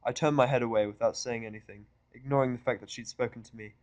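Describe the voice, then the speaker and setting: A man's voice: medium pitch, fairly even intonation, quick pace, darkish tone, no echo. A man narrating first-person fiction with a sardonic, irritable delivery. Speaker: audiobook narrator.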